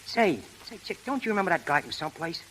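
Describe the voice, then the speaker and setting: Bossy high-pitched American tough guy. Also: sing-song, quick pace, a bit bright, slight background noise, low-quality recording. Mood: puzzled, insistent. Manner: wisecracking, scolding, slangy. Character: brash bossy streetwise male tough named Hap. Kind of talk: 1930s radio comedy acting. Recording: vintage 1930s radio recording.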